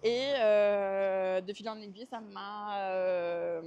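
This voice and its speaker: A woman's voice: mid-range voice, fairly even intonation, slow pace, darkish tone, clear, resonant tone, lo-fi sound. A woman in her twenties from Marseille with a Marseille accent, answering interview questions while walking, hesitant with many fillers. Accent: Marseille French. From France. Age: 20s.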